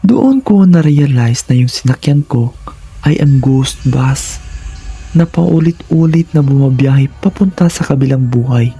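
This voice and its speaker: A man narrates a horror story with suspense and drama. His delivery is slow and his tone is dark and husky. The voice follows a scripted, first-person storytelling style. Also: mid-range voice, soft background music.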